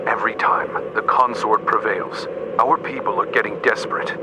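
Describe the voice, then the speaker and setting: An actor playing a grave, weary military commander in a video game, speaking in a measured, formal, matter-of-fact way. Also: male voice, on the low side, lightly acted, rough recording. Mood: weary, earnest. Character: grave male military commander, weary but loyal, briefing his agent. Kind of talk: video game cutscene acting. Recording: loud music under the voice.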